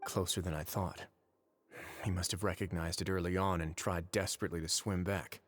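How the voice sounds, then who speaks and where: Composed game warden, low voice, dry wit, unhurried and polite, music behind. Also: male voice, level tone, dry recording. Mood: relaxed, dry. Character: composed, drily witty male warden of an underwater fortress. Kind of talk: video game voice acting. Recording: music under the voice.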